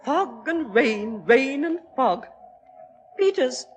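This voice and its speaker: A woman's voice: sits high, expressive, slow, faint music behind. An actress playing a fretful, superstitious middle-aged aristocratic English mother with a fussy, fluttery British delivery in old-time radio style. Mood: fretful, uneasy.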